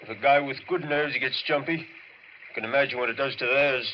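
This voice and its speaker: A man's voice: mid-range voice, moderate pace, close to an everyday voice. An actor playing an uneasy male sanitarium attendant, blunt and colloquial, in 1940s radio drama style. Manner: colloquial, clipped, jumpy. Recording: muffled old radio, faint noise.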